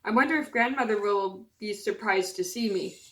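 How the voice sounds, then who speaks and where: A woman's voice: medium pitch, normal speed, fairly bright tone, natural voice. A woman with a scratchy voice voicing a sweet, trusting little girl in a storybook reading. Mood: innocent.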